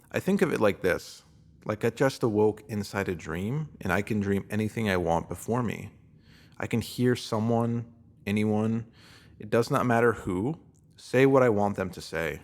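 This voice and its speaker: A man reading a scripted self-help piece as narrator, in a measured, instructive way like a guided meditation. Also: lowish voice.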